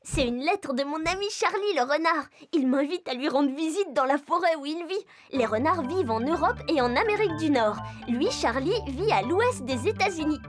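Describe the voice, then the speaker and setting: A woman voicing a cheerful, curious young animal in a children's cartoon, talking in a bright, childlike way straight to young viewers. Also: high voice, lively intonation, normal speed, hardly any pauses. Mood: excited. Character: Jasmin, cheerful curious young animal who travels to friends. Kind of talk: children's cartoon dialogue in French. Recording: music behind the voice.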